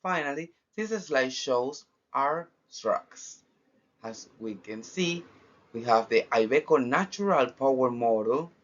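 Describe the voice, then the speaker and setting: Slow, gravelly Argentine man presenting. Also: medium pitch, a bit bright. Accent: Argentine Spanish. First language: Spanish. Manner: measured, formal, with pauses between phrases. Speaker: international trade student. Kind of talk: final project presentation. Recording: dull lo-fi recording.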